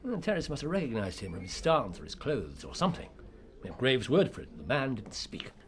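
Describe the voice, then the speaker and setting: A sharp, sardonic detective in Victorian London, played by an actor. His pitch swings widely as he probes and needles. He speaks as a figure in a radio play. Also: sing-song, a little fast, close to an everyday voice. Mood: probing, incisive. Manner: incisive, teasing, probing. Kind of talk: radio drama acting.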